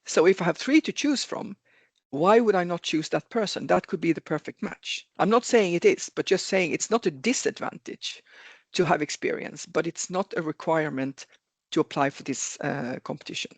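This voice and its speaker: A man's voice: a little high, normal speed, creaky, no room sound. A man giving advice in a webinar over a video call, talking earnestly, repeating himself and correcting his own words as he goes. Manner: repetitive, earnest, self-correcting. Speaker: webinar speaker on careers. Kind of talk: explanatory webinar talk. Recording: video call with dry sound.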